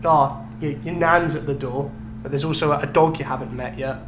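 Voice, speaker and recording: A man's voice: on the high side, fairly even intonation, moderate pace. A man co-hosting a podcast, telling a story in casual chat with an amused, explanatory manner. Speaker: podcast co-host. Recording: clearly noisy recording with hum.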